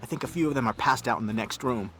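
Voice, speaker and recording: A man's voice: mid-range voice, fast, fairly bright tone, faint music behind, close to an everyday voice. A man playing an earnest, timid young man in a video game, apologetic, fretful and given to complaining. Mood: apologetic, put out. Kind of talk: video game character acting.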